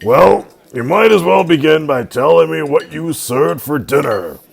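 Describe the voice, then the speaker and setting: Man playing a slow-talking, gravelly homicide sergeant. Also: fairly high pitch, animated, heavily acted. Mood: businesslike. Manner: deliberate, authoritative questioning. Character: brisk, methodical male police homicide sergeant. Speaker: actor. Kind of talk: old-time radio drama acting.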